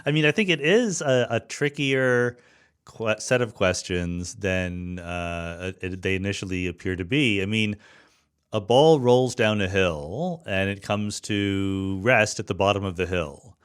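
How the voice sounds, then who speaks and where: A man with a fairly low voice hosts a conversational interview. His delivery is informal and wry, thinking aloud as he works his way toward a question for the guest. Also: unhurried, clear, resonant tone. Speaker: podcast host.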